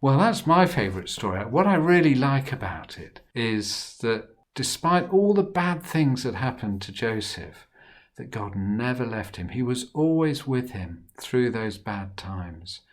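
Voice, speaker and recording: A man tells a children's Bible story in a husky voice. He takes his time over it and reads with dramatic expression, his pitch rising and falling widely as he shifts between hushed and emphatic delivery. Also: sing-song, unhurried.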